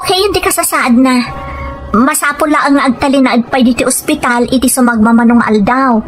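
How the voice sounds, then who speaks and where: A woman's voice: high-pitched, brisk, natural voice. A woman with a Filipino Ilocano accent playing a deceitful gambling wife in an audio drama, with music behind her. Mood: feigned worry. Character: deceitful gambling wife and dutiful-sounding daughter. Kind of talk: scripted audio drama acting. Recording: faint music bed behind voice.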